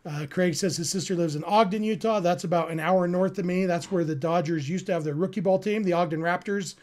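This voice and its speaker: High-pitched American man, casual sports host. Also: fairly quick, darkish tone. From California, United States. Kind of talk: casual live sports talk, answering listener chat.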